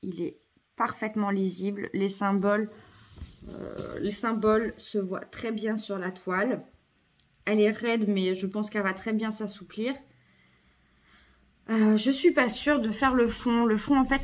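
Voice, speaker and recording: A woman's voice: mid-range voice, steady tone, unhurried. A woman with a cold chatting casually through a craft unboxing at home, hesitant, with many fillers and self-corrections. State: has a cold. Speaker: craft vlogger.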